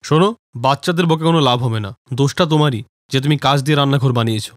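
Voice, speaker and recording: A woman's voice: very deep voice, fast talker, no echo, studio quality, voice pushed down. A woman voicing a practical, disapproving husband in a family cartoon, reproving and matter-of-fact.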